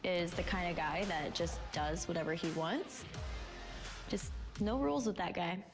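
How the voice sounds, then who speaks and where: A woman's voice: fairly low pitch, unhurried, music in the background. A woman speaking casually in an interview clip. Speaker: interviewee in tribute video. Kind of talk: interview soundbite.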